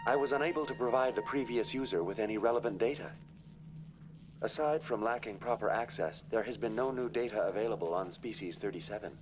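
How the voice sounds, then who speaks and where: An actor playing a polite, formal computer assistant hologram in a video game, precise and unruffled. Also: male voice, fairly low pitch, brisk, over music, natural voice. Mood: officious, unruffled.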